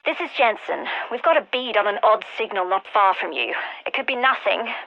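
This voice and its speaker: A woman voicing a sharp-witted, driven scientist in a video game, with a wry, intense, articulate delivery. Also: medium pitch, expressive, brisk, gravelly, muffled recording. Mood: grave, determined. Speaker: game character voice lines. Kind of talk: scripted video game voice acting.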